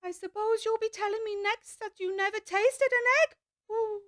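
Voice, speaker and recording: A frantic, indignant mother pigeon from an audiobook, fretting and accusing in an extremely high, shrill voice. The sound is close and dry, with no room around it. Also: steady tone, normal speed, no room sound. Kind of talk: full-cast audiobook acting.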